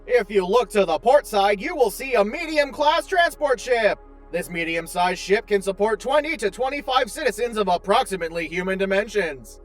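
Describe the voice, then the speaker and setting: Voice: mid-range voice, lively intonation, normal speed, soft background music, natural voice. An actor playing a chipper, oblivious, unfailingly polite tour guide AI in a space audio drama, speaking with formal, cheerful precision. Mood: chipper, informative.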